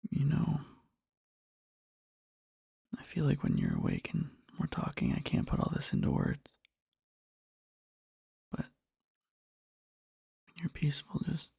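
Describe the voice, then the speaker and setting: A man playing a devoted, sleepy boyfriend in an ASMR roleplay, murmuring tenderly and haltingly in a husky whisper, close to the microphone. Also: on the high side, warm tone, dry recording, exaggerated voice. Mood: tender, adoring. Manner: slow, halting, rambling, tender. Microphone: close-up microphone, dry.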